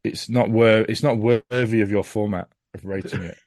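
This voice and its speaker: A man with a fairly low voice, recorded dry with no room sound. He talks as a podcast guest in a casual, opinionated and blunt conversational way. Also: fairly even intonation, normal speed, darkish tone, no echo. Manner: blunt, sweary.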